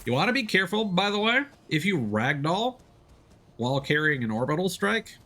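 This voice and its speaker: A man's voice: sits high, big pitch swings, moderate pace, sharp tone. A man giving casual, playful gameplay commentary, with loose swearing and silly exclamations. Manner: playful, profane exclamations and sound words. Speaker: game streamer. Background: video game sound effects.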